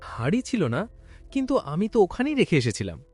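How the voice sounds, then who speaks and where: Man playing a high, very animated village lad. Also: brisk, slightly rough, soft background music, full character voice. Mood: worried. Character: worried young village lad, earnest.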